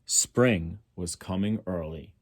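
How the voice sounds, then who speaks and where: A man's voice: low-pitched, takes it slowly, background noise. A man reading a picture book aloud expressively, as a storyteller for schoolchildren.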